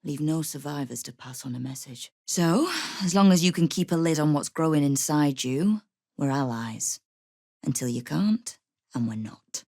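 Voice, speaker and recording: Tough female guild boss, low pitch, wry, menacing, commanding, video game dialogue, close dry sound. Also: expressive, moderate pace, gravelly, warm tone, no echo, full character voice. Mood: cool menace. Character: tough, sardonic woman crime guild boss.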